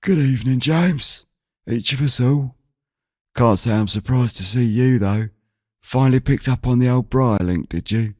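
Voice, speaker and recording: A man's voice: mid-range voice, unhurried, a little mellow, no echo, clean studio sound, pitched above the performer's own voice, close to an everyday voice. A man playing a chatty, hospitable elderly villager in an audio drama, talking in folksy, repetitive, clipped phrases. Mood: knowing.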